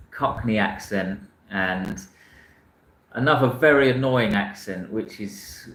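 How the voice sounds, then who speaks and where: Rambling South London man, low voice. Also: a bit bright, reverberant, poor audio. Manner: rambling, self-correcting, frank. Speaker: book channel host. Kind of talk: casual chat reading viewer comments.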